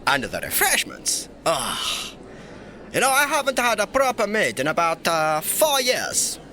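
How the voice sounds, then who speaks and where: A man's voice: high-pitched, huge pitch swings, a little slow, pushed and bright, slight background noise, full character voice. A man playing a young, mischievous and irreverent emperor in a fantasy audio drama, with a theatrical, teasing, breezy delivery. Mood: playful, boisterous. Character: young playful irreverent male emperor, secretly informal and mischievous.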